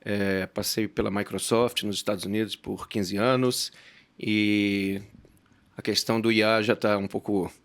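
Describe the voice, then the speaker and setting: A man's voice: lowish voice, level tone, measured pace. A man from Rio, speaking casually as a guest technology expert. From Rio de Janeiro, Brazil. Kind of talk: casual self-introduction.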